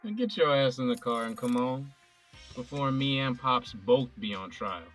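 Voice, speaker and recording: A man's voice: mid-range voice, lively intonation, moderate pace, fairly bright tone, faint music behind. A man playing a young adult son with an accused father, bantering with his friend and earnest when it matters. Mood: teasing. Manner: joking banter that turns earnest. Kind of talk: amateur audio drama acting.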